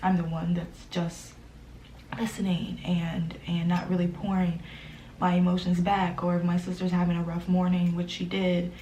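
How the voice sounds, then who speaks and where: A young woman vlogging in a soft, hesitant voice, grieving and close to tears, often dropping to a whisper between fillers. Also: fairly low pitch, monotone, slight background noise. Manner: fillers and trailing pauses. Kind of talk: emotional personal vlog.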